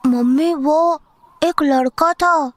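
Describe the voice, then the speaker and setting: A woman plays a young boy in a horror audio drama. The child sounds high and unhurried, hesitant yet insistent, in a dry, close recording. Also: no echo. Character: young boy Ishaan, insistent, imaginative son.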